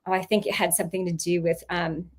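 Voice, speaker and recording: A woman's voice: lowish voice, level tone, quick pace. A woman author and former teacher in casual livestream chat, rambling with lots of ums and laughing mid-sentence, on a video call.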